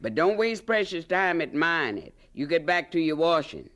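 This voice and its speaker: An actress playing a bossy, proud older aunt from the American South, folksy and scolding, in a radio drama. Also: female voice, low voice, very animated, normal speed, fairly bright tone. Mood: brisk, instructive.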